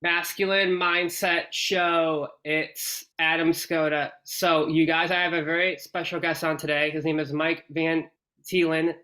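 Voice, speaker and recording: A man hosting a podcast, his voice bright and sharp and pitched a little high. He stays on a narrow, even line of pitch while he greets listeners with upbeat enthusiasm. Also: unhurried. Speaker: podcast host. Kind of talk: podcast introduction.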